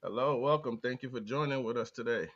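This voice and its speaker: A man hosting a podcast, talking in a low-key, unhurried way with frequent filler words, with an American Midwest accent. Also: a bit bright, slightly rough, dull audio. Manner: low-key, slow, hesitant with many "uh" fillers. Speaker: podcast host. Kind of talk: casual interview talk.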